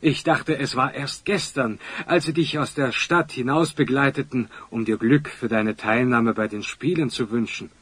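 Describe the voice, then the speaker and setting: A man playing a pompous, florid older wealthy magnate with a husky voice in a children's audio drama. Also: mid-range voice, moderate pace, few pauses, a bit bright. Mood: jovial.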